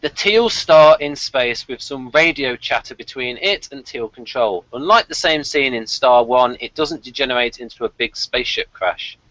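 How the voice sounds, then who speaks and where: Bright-voiced man chatting in dull audio. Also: medium pitch, moderate pace, hardly any pauses, no echo. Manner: chatty, thinking aloud. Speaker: host of a TV review discussion. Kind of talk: casual rambling fan commentary.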